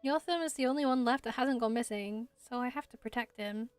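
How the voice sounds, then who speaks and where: A woman's voice: on the high side, fairly quick, clean tone, dry recording, soft background music, close to an everyday voice. A woman voicing a kind, earnest young female forest patroller who is protective like a big sister, in an amateur game voice-over. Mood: concerned.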